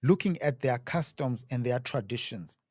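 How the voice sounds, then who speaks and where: A man interviewing a guest and asking questions casually in short, halting phrases. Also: mid-range voice, lively intonation, husky, no room sound, clean studio sound.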